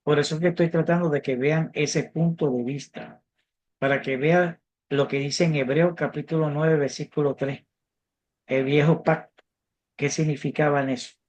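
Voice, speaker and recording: A man's voice: on the high side, fairly even intonation, normal speed, a little mellow. A man whose first language is English, teaching a Bible class in Spanish learned with a Cuban accent. He talks earnestly and repeats words while he searches for them. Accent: English-speaking, Cuban-learned Spanish. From United States. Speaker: theology teacher. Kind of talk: interactive online Bible class.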